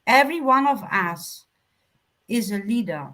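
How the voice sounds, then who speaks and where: A woman whose first language is German, speaking reflectively and off the cuff as a panelist, in a measured, halting way with emphatic pauses. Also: fairly high pitch, animated, very noisy. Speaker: panelist on a talk show. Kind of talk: reflective unscripted panel talk.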